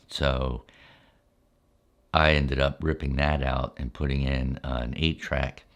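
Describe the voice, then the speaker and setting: An older man with an exceptionally deep, low voice tells stories from memory for ASMR. He is relaxed and unscripted, taking his time and often pausing partway through a sentence before carrying on. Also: vocal fry. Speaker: ASMR storyteller, channel host.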